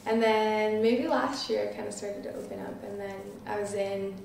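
A woman's voice: mid-range voice, steady tone, moderate pace, gravelly, a little mellow. A nineteen-year-old woman chatting casually as an interview guest, peppering her talk with filler words. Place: small living room, seated on a couch. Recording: roomy, distant mic, faint noise.

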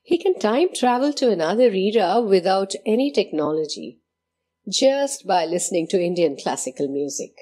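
A woman giving scripted documentary narration in a measured, storytelling way. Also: mid-range voice, very animated, dark tone, breathy edge.

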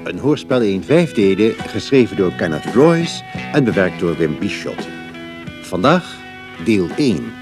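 Low-voiced announcer, man, over guitar music. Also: sing-song, a little slow. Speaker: radio drama announcer. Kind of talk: scripted announcement.

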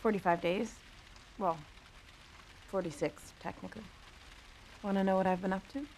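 A woman's voice: mid-range voice, fairly even intonation, moderate pace, a little mellow, slight background noise, natural voice, rough recording. A woman acting a bold, teasing teenage rebel in a video game, with an American accent and a casual, coaxing way of talking.

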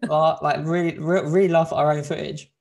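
A young man chatting casually on a video call, talkative and quick to laugh, often restarting his sentences. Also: on the high side, flat delivery, gravelly, darkish tone. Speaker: host doing a video challenge. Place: bright home room. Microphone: video call, desk mic.

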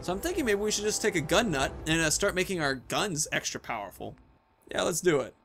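A man's voice: a little high, big pitch swings, a little fast, music in the background. A man in his thirties giving casual, off-the-cuff commentary while playing a video game. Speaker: video game streamer, host.

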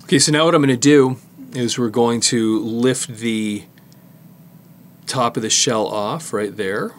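A middle-aged man giving a casual hands-on tutorial, explaining in a halting way as he works with his hands. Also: medium pitch, a little hiss or hum. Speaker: presenter demonstrating cassette disassembly.

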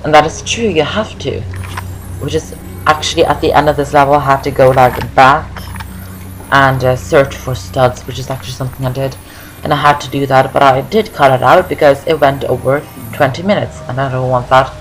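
A young woman talks through a video game. She is chatty and rambling, often repeating herself, with music playing clearly behind her. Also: very deep voice, steady tone, brisk, rough recording. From Norway. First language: Norwegian. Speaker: gaming video host. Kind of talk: casual game walkthrough commentary.

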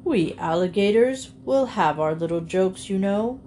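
A woman's voice: mid-range voice, animated, measured pace, mellow, a little hiss or hum, close to an everyday voice. A woman voicing an ancient, sly male alligator who speaks with false politeness. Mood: oily.

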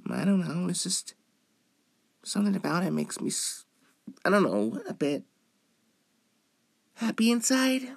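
A man plays a sweet, bashful young femboy in an intimate ASMR roleplay. The delivery is slow and hesitant, with coy pitch swings. The recording is close and dry. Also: huge pitch swings, gravelly, no room sound, exaggerated voice. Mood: soft, pleased. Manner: hesitant, coy, with drawn-out words. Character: cute, shy, playful young femboy trying on outfits.

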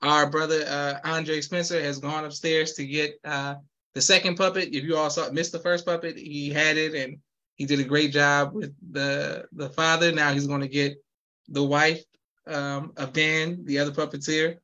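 A man's voice: on the high side, little pitch movement, normal speed, sharp tone, lo-fi sound. A man hosting a podcast over a video call, upbeat and polite, often saying yes sir. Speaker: podcast host. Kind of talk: casual interview. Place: home room with poster wall.

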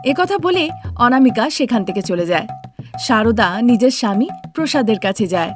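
Woman narrator, high voice, scripted storybook delivery, faint music underneath. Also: sing-song, a little fast.

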